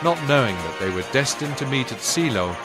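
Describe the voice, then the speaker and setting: A man giving a measured, formal scripted narration of wartime history for a video game, in a solemn storytelling manner. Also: mid-range voice, big pitch swings, lightly acted. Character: solemn male narrator of a Second World War history. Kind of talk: scripted documentary-style war narration. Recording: loud music under the voice. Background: loud music.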